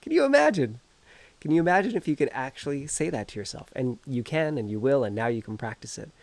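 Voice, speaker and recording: A man's voice: medium pitch, animated, quick pace, vocal fry. Stuffy-nosed man giving earnest encouragement. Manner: earnest, encouraging, speaks directly to the viewer. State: stuffed-up nose from a cold. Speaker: energy healer and coach. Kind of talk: encouraging self-help video talk. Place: outdoors.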